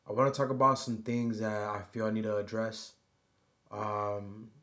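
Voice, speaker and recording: A man with a low, level voice muses aloud in a loose, unscripted monologue. He pauses often and leans on "you know" and "right?" to keep his thoughts moving. The delivery stays even and unhurried, with little rise or fall. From United States. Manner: halting, filler-heavy, keeps adding "you know" and "right?". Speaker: vlogger. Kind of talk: rambling personal monologue.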